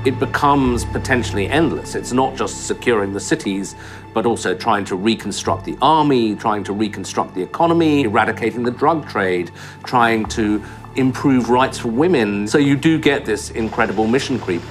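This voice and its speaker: A man's voice: mid-range voice, normal speed, faint music behind. A man in his fifties, an analyst speaking articulately about policy in a documentary interview, in an indoor room.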